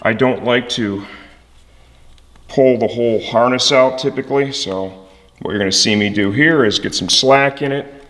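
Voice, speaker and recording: A man's voice: medium pitch, moderate pace, fairly bright tone. A plain-spoken, folksy man hosting a casual step-by-step motorcycle install tutorial, explaining things as he goes. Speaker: host of motorcycle parts install videos. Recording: echoey, reverberant room.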